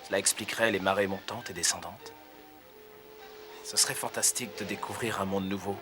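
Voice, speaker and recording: A man voicing an earnest, brave young ship's navigator in a French cartoon dub, declaiming his lines clearly. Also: low-pitched, steady tone, fairly quick, loud music behind the voice, lightly acted. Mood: musing.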